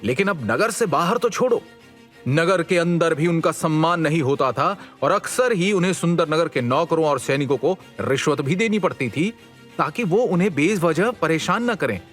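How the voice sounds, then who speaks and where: High-voiced man narrating a dramatic story. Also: lively intonation, normal speed, fairly bright tone, faint music behind.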